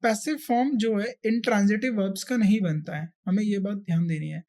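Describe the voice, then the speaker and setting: Female teacher, Indian accent, mid pitch, explains patiently point by point with repetition and quick check questions. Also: steady tone, a little mellow. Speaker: exam-prep English grammar teacher. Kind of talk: explanatory lesson delivery, mixing Hindi and English.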